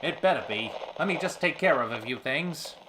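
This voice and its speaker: A man playing a short-tempered, wisecracking talk show host in an old-time radio drama, fast-talking in theatrical period slang. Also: mid-range voice, animated, noisy background, close to an everyday voice. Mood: polished, irritated.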